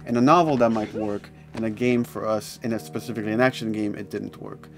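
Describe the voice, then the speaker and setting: Guy game dev explaining stuff in a doc interview, kinda low voice, music behind him. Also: steady tone, a little fast. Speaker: game developer being interviewed. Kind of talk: explanatory documentary interview talk. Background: background music.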